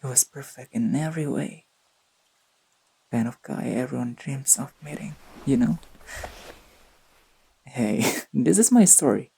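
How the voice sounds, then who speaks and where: A performer voicing a gentle, teasing young boyfriend in an intimate sleep-aid roleplay, speaking softly and tenderly with a breathy, slightly husky voice. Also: medium pitch, animated, unhurried, pauses often, slight background noise, rough recording. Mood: slow storytelling. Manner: tender, playful, murmured delivery.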